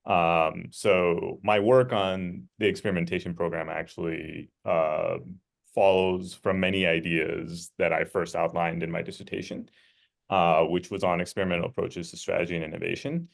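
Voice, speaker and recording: A man economist introducing himself on a video call, measured, often starting with "uh". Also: deep voice, steady tone, gravelly. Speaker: panelist, economist. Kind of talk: webinar self-introduction.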